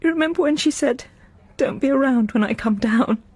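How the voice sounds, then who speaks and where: An actress playing an emotional young woman in a radio drama, with a breathy voice. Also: high-pitched, brisk, darkish tone. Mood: shaken, bewildered. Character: friendly young female radio colleague, emotional and vulnerable.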